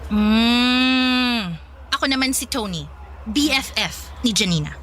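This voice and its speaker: Bright, pointed Filipino best friend. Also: female voice, a little high, slow pace, a little hiss or hum. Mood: guarded. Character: protective, blunt best friend of the heroine. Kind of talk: Filipino radio drama acting.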